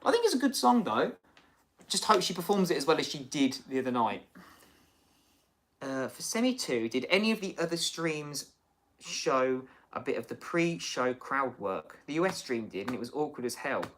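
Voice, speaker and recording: A man's voice: a little high, big pitch swings, normal speed, a bit bright, slight background noise. Chatty man hosting a livestream. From United Kingdom. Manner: chatty, rambling, many fillers and restarts. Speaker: livestream host. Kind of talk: casual chat, reading viewer comments.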